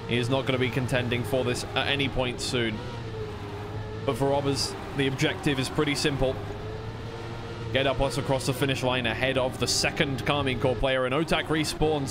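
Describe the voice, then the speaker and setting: A man's voice: mid-range voice, background noise. A man giving casual, unscripted live play-by-play and analysis as an esports commentator on a stream.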